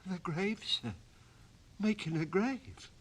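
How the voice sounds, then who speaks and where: A man's voice: high-pitched, takes it slowly, pitched above the performer's own voice. A man voicing a terrified old gravedigger who stammers timidly in a storybook reading. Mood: frightened.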